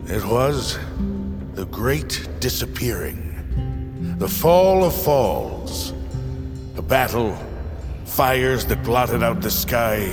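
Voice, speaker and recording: An older fantasy laborer, proud and bitter, who speaks like a keeper of old legends. His delivery is slow and solemn with big swings of pitch. It rings in long reverb under loud music. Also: expressive. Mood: grave, portentous. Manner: portentous, solemn storyteller. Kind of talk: dramatic fantasy audio drama acting.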